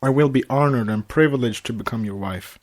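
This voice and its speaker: A man's voice: mid-range voice, brisk, a little mellow, close to an everyday voice. A man voicing a young female pony princess and bride, sincere and heartfelt, in a story reading. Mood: tender.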